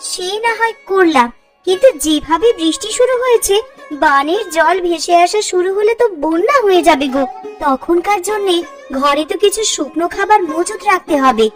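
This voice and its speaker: A woman's voice: very high voice, expressive, moderate pace. A woman voicing a poor village mother and farmer's wife in a Bengali fairy-tale cartoon, with a homely, nagging way of talking. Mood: worried, caring. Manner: nagging, exasperated homely talk. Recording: music bed under the voice.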